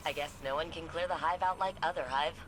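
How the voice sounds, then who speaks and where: A woman playing a wry, quick-witted hacker and field operative in a video game, talking casually. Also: deep voice, fairly bright tone, soft background music, rough recording. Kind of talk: video game dramatic acting.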